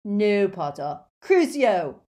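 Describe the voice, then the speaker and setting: A woman voicing a slow-witted, hulking teenage schoolboy bully in an audiobook, blunt and ungrammatical. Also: fairly high pitch, wildly swooping pitch, voice pushed up. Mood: aggressive, mocking. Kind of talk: audiobook character voice.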